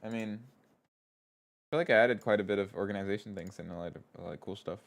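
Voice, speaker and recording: A man with a stuffy nose, streaming live as a game developer, chatting casually, reading chat aloud and thinking out loud as he codes. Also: lowish voice, fast talker, vocal fry. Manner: thinks aloud, reads chat aloud, trails off and restarts.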